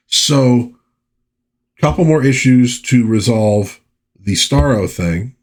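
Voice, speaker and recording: A man hosting a casual review, talking in a halting, musing way with pauses and repeated phrases. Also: on the low side, husky. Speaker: host of a comic book review series.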